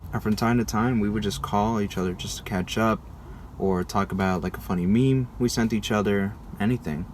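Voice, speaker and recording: Young American man, vlog presenter. Low-pitched, conversational and informal, with faint ambient noise behind him. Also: a little mellow. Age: young adult. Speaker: video creator presenting a school project. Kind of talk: casual presentational vlog narration.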